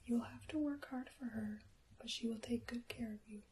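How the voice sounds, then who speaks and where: A woman voicing a scheming, falsely sweet stepmother from a fairy tale in a soft, coaxing whisper. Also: on the high side, steady tone, moderate pace, slight background noise, lightly acted. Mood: false sweetness.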